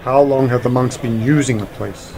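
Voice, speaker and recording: A man playing an assured, knowledgeable time-travelling uncle who explains things briskly in a science fiction radio play. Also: medium pitch, slight background noise, natural voice, rough recording. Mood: intent, brisk. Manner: explanatory, assured, a bit impatient. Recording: loud music under the dialogue.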